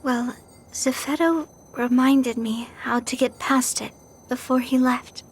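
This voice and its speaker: An actress playing a calm, quietly knowing young woman in a video game cutscene, understated and patient. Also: sits high, slow pace, breathy edge, exaggerated voice.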